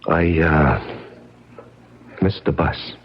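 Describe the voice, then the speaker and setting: An injured American drifter in a 1970s radio drama, evasive and wry. His voice is deep, with a lot of gravel, and he talks in a halting, colloquial way. The recording is muffled and lo-fi, like an old broadcast. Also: lively intonation, full character voice.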